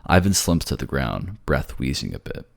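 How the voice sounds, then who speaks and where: A man's voice: extremely low pitch, sing-song, moderate pace, creaky. A man working as a voice actor and narrator, in an ASMR storytelling style. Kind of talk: soft narration and casual sign-off.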